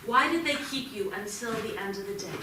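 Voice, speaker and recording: A woman's voice: medium pitch, animated, a little fast, lightly acted. An actress playing a stern, worried mother of a teenage son in a staged play reading. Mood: grave, firm. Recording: echoey theatre, distant mic, clear noise.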